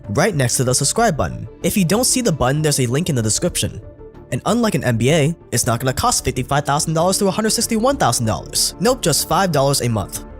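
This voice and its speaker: A man narrating a scripted documentary in a wry, sarcastic way, his voice sounding a little sick. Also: fairly high pitch.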